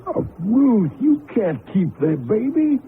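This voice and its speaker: A man's voice: high voice, big pitch swings, slow, heavily acted. A man playing a cautious, kindly middle-aged American country husband, folksy and hesitant as he reasons things through in a radio drama. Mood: gently reasoning. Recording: muffled lo-fi radio broadcast with noise.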